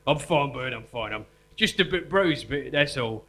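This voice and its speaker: A man's voice: medium pitch, animated, moderate pace, sharp tone. A man acting a naive, jittery, unhinged prisoner in a live stage comedy, rambling and faltering plaintively, with some noise and hum behind him. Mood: wounded, pleading. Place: live performance venue. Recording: noticeable background noise and hum.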